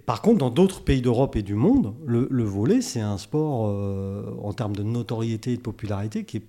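Give this man a soft, mellow, relaxed voice at a medium male pitch. He hosts a conversational podcast interview, keeping it informal and jokey and often jumping in with short interjections. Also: normal speed.

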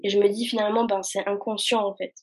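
A woman's voice: mid-range voice, level tone, quick pace. A young woman speaking in a casual conversation, hesitant and searching for words with many fillers.

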